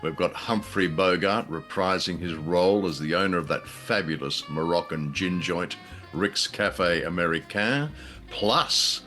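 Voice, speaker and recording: A man's voice: deep voice, fairly bright tone. A man co-hosting a show with a playful, theatrical introduction, effusive and tongue-in-cheek. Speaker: co-host. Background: faint music.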